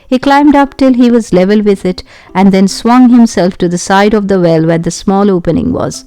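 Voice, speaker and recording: A woman reading a children's adventure story aloud in an expressive storytelling style. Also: medium pitch, normal speed, smooth, no echo. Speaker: audiobook narrator.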